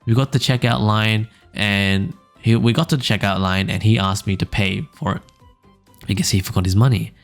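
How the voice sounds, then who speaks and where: A young man hosting a casual podcast, reading funny stories aloud in a smooth low voice, rambling with fillers and small laughs. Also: moderate pace. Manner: relaxed, rambling, frequent fillers and little laughs. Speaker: podcast host. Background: faint background music.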